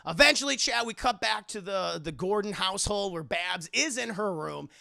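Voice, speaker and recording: A man streamer giving a lively, profane scene-by-scene recap to a live chat, acting out bits and sound effects. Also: high voice, normal speed, vocal fry. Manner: excitable, profane, acts out characters and sound effects. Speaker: streamer and animation reviewer.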